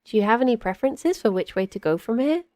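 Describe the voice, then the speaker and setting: A woman's voice: fairly high pitch, animated, fast, darkish tone, no echo, natural voice. A woman playing a cheerful, chatty young witch in an audio drama, rambling a little hesitantly and eager to please. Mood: easygoing. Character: cheerful, chatty young witch, tall rabbit-eared druid companion. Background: music.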